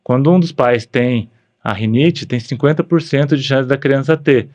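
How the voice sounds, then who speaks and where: A man's voice: medium pitch, normal speed, darkish tone. A Brazilian man speaking as a guest doctor on a podcast, explaining medical matters in an informal, conversational way.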